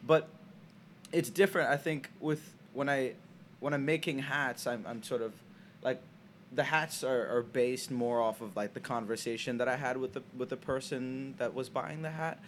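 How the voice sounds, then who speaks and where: A man's voice: mid-range voice, slight background noise. A young man from Senegal, an art student talking in a casual interview in a small radio booth, often restarting his sentences. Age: young adult. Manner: halting, many restarts and fillers.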